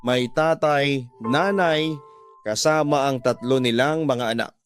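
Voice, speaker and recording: A man's voice: medium pitch, takes it slowly. A man narrating a scripted, emotional first-person story for a radio drama. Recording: music bed behind the voice.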